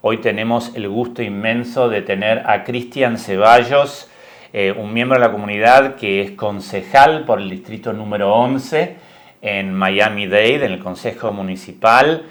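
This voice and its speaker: Middle-aged guy hosting a podcast, asks questions politely and warmly welcomes his guest. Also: lowish voice, unhurried. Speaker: podcast host and documentary director. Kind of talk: interview podcast.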